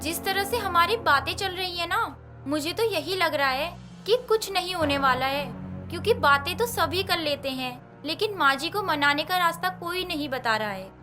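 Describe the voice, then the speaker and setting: A woman voicing a whiny, doubting young daughter-in-law who complains warily in an animated moral story. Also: very high voice, moderate pace. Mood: complaining, wary. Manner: complaining, wary. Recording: music behind the voice.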